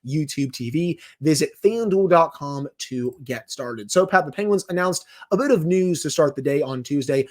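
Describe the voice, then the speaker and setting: A man's voice: on the high side, animated, moderate pace, few pauses, darkish tone. A man co-hosting a sports podcast, giving a news rundown in an emphatic, announcer-like delivery. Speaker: podcast co-host. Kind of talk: sports podcast commentary, scripted-sounding intro and news rundown.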